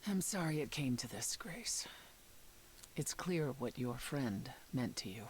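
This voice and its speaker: An actress playing a grave, world-weary goddess in a dramatic video game, speaking in a measured, sombre, matter-of-fact way. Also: female voice, very deep voice, lively intonation, a little hiss or hum. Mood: sombre sympathy.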